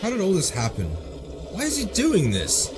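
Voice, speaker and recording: A man's voice: sits high, huge pitch swings, normal speed, background noise. An actor playing a bewildered, ordinary young man swept into adventure in an audio drama, plain and questioning.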